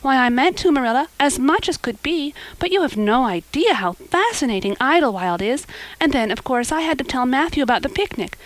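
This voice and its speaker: A woman voicing a breathless, excitable and dreamy young girl in a storybook, chattering and gushing dramatically. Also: high voice, fairly quick, voice pushed up, rough recording. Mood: eager, pleading. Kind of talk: audiobook character acting.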